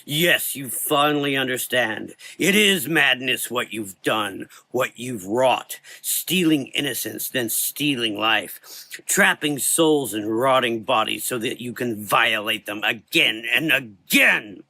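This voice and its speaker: A man playing a menacing, supernatural dark hunter in a gothic horror audio drama, speaking slowly and deliberately with cold, sneering contempt and quiet rage. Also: mid-range voice, expressive, full character voice. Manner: slow, deliberate, coldly contemptuous.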